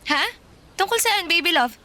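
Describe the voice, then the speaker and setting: A woman's voice: very high voice, expressive, normal speed, sharp tone, heavily acted. An actress playing a sweet, teasing girlfriend in her early thirties in a radio drama, chatty and affectionate. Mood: playful, curious. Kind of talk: radio drama acting, conversational.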